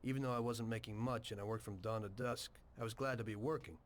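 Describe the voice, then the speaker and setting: A man's voice: fairly low pitch, steady tone, fast, slight background noise, lightly acted. Man playing a weary, plain-spoken American mobster. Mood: reflective. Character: weary former taxi driver turned mobster informant. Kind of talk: video game dialogue and narration.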